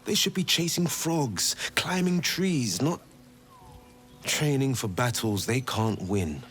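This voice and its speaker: An actor playing a swashbuckling, boastful young monster hunter in a fantasy video game, with charming, theatrical flourishes. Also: male voice, mid-range voice, animated, takes it slowly, a bit bright, soft background music. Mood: earnest.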